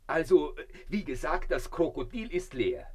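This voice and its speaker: A man's voice: fairly high pitch, big pitch swings, measured pace, slightly rough, full character voice. An actor in a radio play voicing a vain, blissfully self-satisfied man who lectures pompously from inside a crocodile. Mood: smug, lecturing. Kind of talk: theatrical radio play acting.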